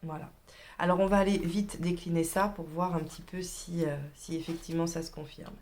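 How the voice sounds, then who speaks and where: A woman's voice: lowish voice, little pitch movement, fairly quick, a little mellow. Chatty woman with a cold, reading tarot. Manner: chatty, many hesitations, drops to whispers. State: has a cold. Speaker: tarot reader.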